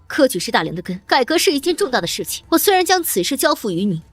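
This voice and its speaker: A woman's voice: high-pitched, big pitch swings, quick pace, breathy edge, no echo, faint music behind, heavily acted. An actress playing an imperious young ruler in a historical comic drama, stern and authoritative as she reproves. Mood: stern, reproving.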